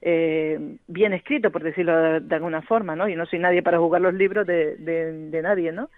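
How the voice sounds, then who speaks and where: A woman's voice: lowish voice, fairly even intonation, moderate pace, no room sound. A woman novelist from Gran Canaria, answering radio interview questions thoughtfully, with many fillers and restarts. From Gran Canaria, Spain. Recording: dry, tinny phone-like line.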